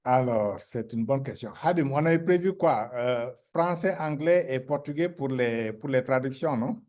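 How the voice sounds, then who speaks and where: Man in a mid-range voice chairing an online meeting. He explains calmly and warms up for anecdotes. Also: medium pitch, moderate pace. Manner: animated when telling anecdotes, otherwise calm and explanatory. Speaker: meeting moderator.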